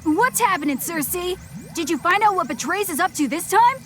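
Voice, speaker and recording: Young boy game hero: plucky, cocky, eager, animated delivery in an extremely high register. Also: moderate pace. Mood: urgent. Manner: brash, eager. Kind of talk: animated game cutscene acting. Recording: loud music behind the voice.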